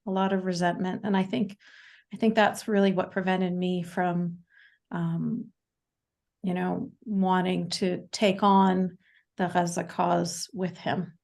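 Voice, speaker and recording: A Canadian woman in her forties, a guest speaking in a casual interview, talking haltingly with many fillers and restarts. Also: on the low side, level tone, vocal fry, darkish tone. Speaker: podcast guest.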